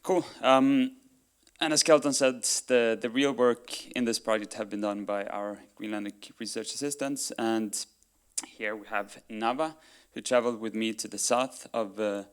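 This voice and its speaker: A young man presenting research to an audience in a relaxed, friendly way with hesitant fillers. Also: fairly low pitch, measured pace, clean tone. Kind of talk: public presentation.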